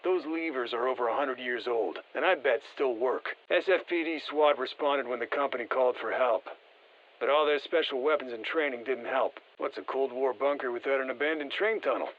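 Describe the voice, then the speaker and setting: An actor playing a seasoned, wry special forces operative in a video game, speaking matter-of-factly through a radio filter. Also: male voice, mid-range voice, brisk, highs cut off. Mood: wry, reflective. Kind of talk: scripted game voice lines, field commentary.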